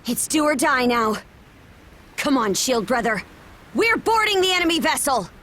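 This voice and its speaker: Game role: commanding young female seafarer, bright-spirited swings in a high voice. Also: very animated, moderate pace, a little hiss or hum. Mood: urgent, commanding. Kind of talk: scripted video game voice acting.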